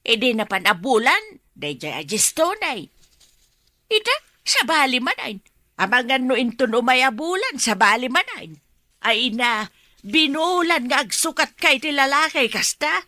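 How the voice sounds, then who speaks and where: High-voiced nagging Filipino mother. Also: very animated, a little slow, sharp tone, no room sound, full character voice. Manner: exclamatory, scolding. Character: nagging middle-aged mother, scolding and meddlesome. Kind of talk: Ilocano radio drama acting.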